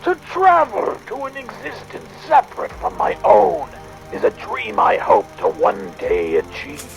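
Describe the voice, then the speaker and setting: A man playing a fussy, excitable Victorian gentleman inventor, speaking a pompous, wordy audio diary in a theatrical drama. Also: sits high, measured pace, creaky, soft background music, full character voice, low-quality recording. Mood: determined. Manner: pompous, wordy, hesitant musing.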